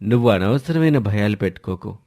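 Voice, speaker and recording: A man's voice: lowish voice, expressive, a little fast, mellow, no echo, clean studio sound. A man voicing a secretive young husband and father who talks in a reassuring, persuasive way in a story. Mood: placating.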